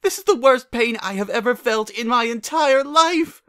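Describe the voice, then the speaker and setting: A prim, dramatic lady unicorn played by a man from a cartoon pony story. The voice is pushed high and loud, with haughty, theatrical phrasing. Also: animated, moderate pace, clean tone. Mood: pained, indignant.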